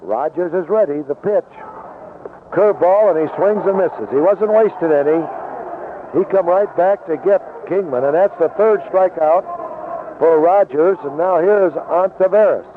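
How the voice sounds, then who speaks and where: A man's voice: high-pitched, unhurried. American guy calling a ballgame on old 70s radio, tinny narrow sound w some background noise. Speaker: baseball play-by-play announcer. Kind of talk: 1970s radio baseball commentary. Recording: tinny noisy vintage AM radio broadcast.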